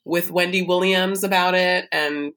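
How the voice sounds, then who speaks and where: Bright-voiced chatty woman podcaster. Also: on the low side, fairly even intonation, measured pace. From United States. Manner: chatty, gossipy storytelling with fillers. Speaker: podcast co-host. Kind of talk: casual chat.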